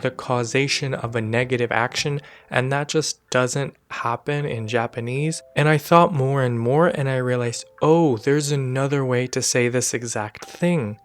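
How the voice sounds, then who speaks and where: A young man hosting a language-learning video in a scripted but casual, self-deprecating explainer style. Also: mid-range voice.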